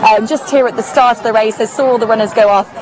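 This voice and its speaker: A woman's voice: fairly high pitch, fairly even intonation, fast talker, very noisy. A young woman reporting live for radio from outdoors at a busy street race, chatty and upbeat with many fillers.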